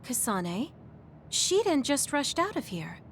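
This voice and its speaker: A woman playing an understanding, reassuring teammate in an anime game dub, speaking in a gentle, encouraging manner. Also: sits high, big pitch swings, slow pace, slight background noise, full character voice.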